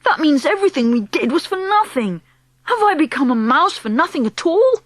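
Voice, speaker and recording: A woman voicing a young boy who has been turned into a mouse, eager and anxious, pleading and excitable. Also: high voice, moderate pace, no room sound, studio quality, pitched above the performer's own voice.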